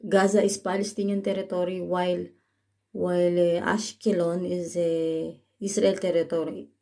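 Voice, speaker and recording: A woman from the Philippines, a Tagalog speaker with a Filipino accent, giving a casual vlog update as a caregiver. She explains slowly in simple English, pausing often with fillers and restarts. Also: on the low side, level tone, mellow. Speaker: caregiver and vlogger. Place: home in northern Israel.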